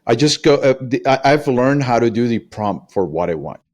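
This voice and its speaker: A man's voice: medium pitch, expressive, normal speed, darkish tone. A man who is not a native English speaker, a guest on a podcast panel, explaining how he works in a direct, matter-of-fact way. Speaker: podcast guest, security practitioner. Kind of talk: casual panel chat.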